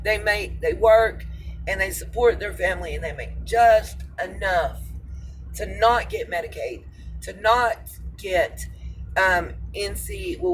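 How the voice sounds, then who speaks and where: A middle-aged American woman with a congested, nasal voice, rambling casually to her viewers as a vlogger. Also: fairly low pitch. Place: inside a moving car. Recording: noisy car interior with road noise. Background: car and traffic noise.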